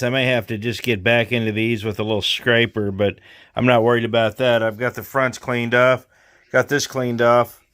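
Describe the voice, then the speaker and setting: Casual man thinking aloud while he works. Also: mid-range voice. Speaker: host of a radio repair vlog. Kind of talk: casual hands-on demonstration, talking while working.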